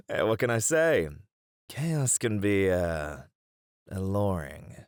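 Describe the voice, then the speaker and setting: A man's voice: fairly low pitch, big pitch swings, very slow, dry recording, studio quality, exaggerated voice. A man playing a charming, mischievous, flirty mafia boss in a teasing, theatrical ASMR roleplay. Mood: playful, coy. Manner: teasing, theatrical, smooth-talking.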